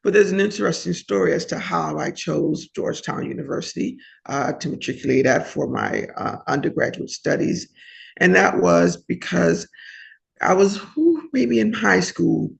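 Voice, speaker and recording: A middle-aged woman from New Orleans, a former diplomat, recalling her career in a reflective oral history interview with many pauses and restarts. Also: on the low side, expressive, vocal fry. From New Orleans, United States.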